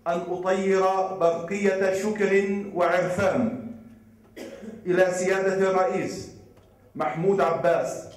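A man's voice: high-pitched, fairly even intonation, measured pace. A man moderating a conference, reciting formal verse and joking with the audience from the podium. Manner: jovial, jokes with audience. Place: large conference hall. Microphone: podium microphone from far off. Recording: echoey hall, distant mic.

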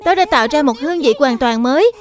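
A woman voicing a sweet, eager little girl rabbit in a Vietnamese cartoon dub, speaking in an earnest, childlike, expressive way. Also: high-pitched, fast talker. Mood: proud.

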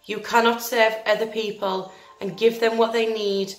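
A woman leading a guided meditation in a gentle, soothing, deliberate manner, leaving long pauses between phrases. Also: fairly high pitch, little pitch movement. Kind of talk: guided meditation, scripted narration. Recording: roomy echo with faint music behind.

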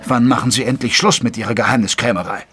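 An actor playing an indignant, curious Victorian gentleman who narrates an audio drama in measured literary German prose. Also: mid-range voice, faint music behind, close to an everyday voice.

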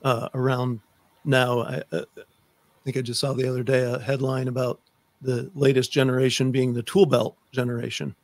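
A man's voice: mid-range voice, flat delivery, normal speed, no echo. A middle-aged American man hosting a conversational interview, speaking into a close desk microphone. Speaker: podcast host. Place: room with bookshelves, on video call. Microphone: close studio microphone on desk.

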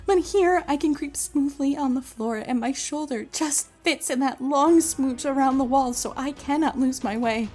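An actress as an unhinged, high-voiced confined woman. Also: moderate pace, few pauses, darkish tone, close to an everyday voice. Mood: dreamy, obsessive. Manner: theatrical, confiding. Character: unhinged woman confined to a room, obsessive, secretive, childlike delusion. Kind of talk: dramatic first-person monologue in an audio drama.

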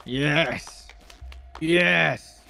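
A man's voice: on the high side, extremely slow, vocal fry, slight background noise, heavily acted. A man playing a vengeful tree monster guarding its forest, ominous and declamatory. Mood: menacing. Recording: loud music behind.

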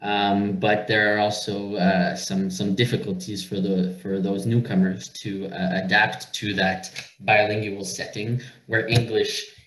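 A man from Northern Ontario, a native French speaker with a Canadian French accent, giving a webinar talk in a halting way, full of "uh" as he searches for words. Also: fairly low pitch, flat delivery, fairly bright tone. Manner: hesitant, many filler uh's, searching for words. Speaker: organization director, webinar speaker. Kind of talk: presentation on a video call. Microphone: video call. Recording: echoey room on a video call.

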